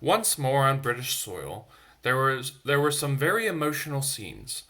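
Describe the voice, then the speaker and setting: Animated, bright-voiced man reading to children. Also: medium pitch, unhurried. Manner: expressive, dramatic. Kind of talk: animated bedtime story reading with asides.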